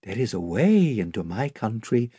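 A man voicing a gentle male lamb with a sweet, milky voice. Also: on the low side, very animated, moderate pace, slightly rough, no echo, exaggerated voice.

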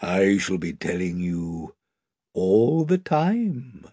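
A man voicing a huge, wise and gentle male lion who speaks softly and gravely. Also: deep voice, big pitch swings, very slow, dry recording, studio quality, voice pushed down, exaggerated voice. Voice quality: soft, hushed, grave.

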